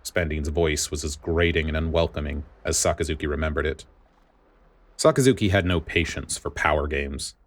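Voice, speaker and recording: A man's voice: very deep voice, moderate pace. A man reading scripted fiction aloud as a story narrator.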